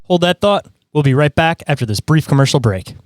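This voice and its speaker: A man's voice: fairly high pitch, expressive, fairly quick. A man reading a scripted podcast bumper as an announcer. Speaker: break announcer. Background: music behind the voice.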